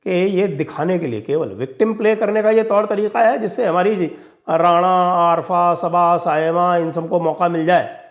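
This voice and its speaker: A man's voice: sits high, normal speed. A man delivering opinionated political and religious commentary in an emphatic, sarcastic and mocking manner. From India. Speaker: commentator and show host.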